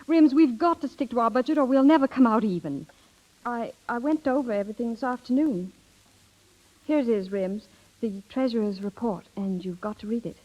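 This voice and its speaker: Young woman played by an actress, affectionate and halting, high voice, vintage radio drama style, muffled sound. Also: a little mellow, close to an everyday voice. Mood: earnest, exasperated. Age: young adult. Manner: hesitant, breaks off and repeats words. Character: earnest, affectionate young woman, sweetheart turned budget-minded wife. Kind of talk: 1930s radio acting. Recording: dull 1930s radio broadcast recording.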